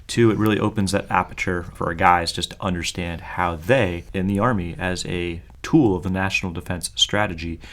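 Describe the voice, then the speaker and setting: Deep-voiced man, earnest podcast co-host. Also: steady tone, fairly quick, hardly any pauses, a bit bright. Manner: talkative, earnest, many fillers. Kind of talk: casual conversational podcast.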